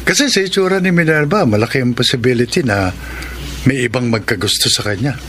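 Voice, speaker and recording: An actor playing a jealous older suitor in a radio drama, with a prying, roundabout way of speaking. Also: male voice, medium pitch, fairly quick, a bit bright, slight background noise, close to an everyday voice. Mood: probing, jealous. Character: jealous, probing older suitor called uncle.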